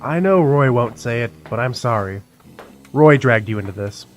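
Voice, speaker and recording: A man's voice: mid-range voice, lively intonation, moderate pace, close to an everyday voice. An actor playing an exasperated, worried male sidekick in a comic radio drama, earnest and scolding. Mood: resigned.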